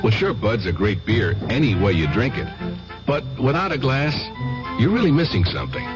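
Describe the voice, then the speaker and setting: A man's voice: mid-range voice, sing-song, moderate pace. A man reading a vintage radio commercial in a conversational, persuasive way. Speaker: commercial announcer. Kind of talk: 1970s radio advertisement, scripted. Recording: dull old radio recording. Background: loud music.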